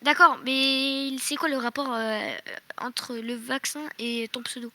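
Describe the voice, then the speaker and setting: A woman's voice: sits high, steady tone, measured pace, pushed and bright. A woman moderating a casual voice chat, talking in an earnest, reproving way. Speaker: server moderator. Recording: slightly noisy voice-chat microphone.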